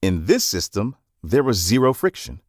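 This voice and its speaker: A man reading scripted nonfiction narration in a measured, instructive manner, as for an audiobook summary. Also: fairly low pitch, very animated, no echo, clean studio sound.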